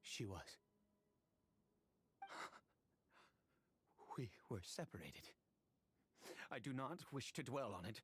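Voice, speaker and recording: An actor playing an unhinged, grief-worn immortal nobleman in a video game, with a halting, stammering, erratic delivery. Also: medium pitch, expressive, fairly bright tone, breathy edge, no echo. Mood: subdued grief. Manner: halting, stammering, erratic, breaking into laughter and whispers. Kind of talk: dramatic video game voice acting. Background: music.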